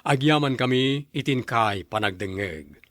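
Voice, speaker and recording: A man presents a radio drama program in formal, scripted announcing style. His delivery is measured with wide, lively intonation, and the sound is dry. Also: mid-range voice, dry recording.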